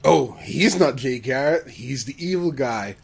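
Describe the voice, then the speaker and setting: A man's voice: medium pitch, animated, a little slow, vocal fry, slightly rough. Chatty man with a mid-range voice. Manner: questioning, acts out mock dialogue. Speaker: podcast co-host. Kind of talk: casual chat. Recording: noticeable background noise.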